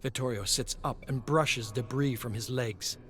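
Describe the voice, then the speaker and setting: A man narrating a scripted story dramatically, in a measured and solemn manner. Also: medium pitch, a bit bright. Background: music.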